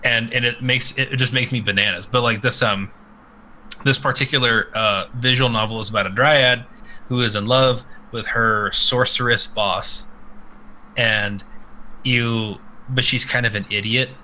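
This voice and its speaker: A man hosting a casual live podcast, rambling and swearing freely as he gives animated opinions. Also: mid-range voice, a little slow, a little hiss or hum, rough recording. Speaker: podcast host. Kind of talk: casual opinionated live chat about games.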